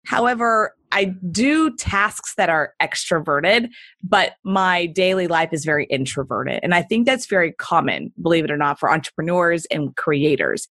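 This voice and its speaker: A woman's voice: on the low side, lively intonation, normal speed, a bit bright, dry recording, studio quality. A woman in her forties from Texas with a neutral accent, telling her story in a casual interview and laughing often. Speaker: guest entrepreneur.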